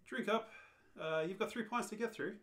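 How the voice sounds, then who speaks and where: A performer playing an urgent, cryptic male alien friend in an amateur radio play, deadpan and insistent. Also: medium pitch, moderate pace, close to an everyday voice. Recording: roomy, echoing live reading.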